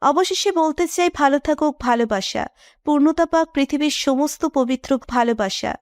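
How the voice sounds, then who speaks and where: A woman's voice: high-pitched, moderate pace, a little mellow, no echo, studio quality. A woman narrating a scripted romantic story.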